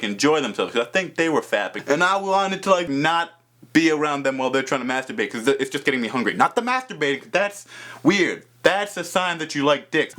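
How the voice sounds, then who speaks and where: A young man with a loud voice telling a comedic story on his vlog, swinging between mumbled asides and loud exclaims. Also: a little high. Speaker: vlogger.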